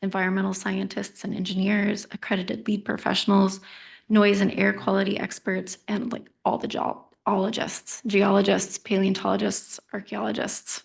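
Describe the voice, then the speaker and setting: Soft-spoken woman with a creaky, flat voice. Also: medium pitch, normal speed. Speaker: consultant. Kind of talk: presentation at council meeting.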